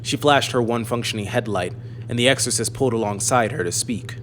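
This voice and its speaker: A man narrating a scripted fantasy audiobook in a measured, serious way. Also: medium pitch, a bit bright, a little hiss or hum.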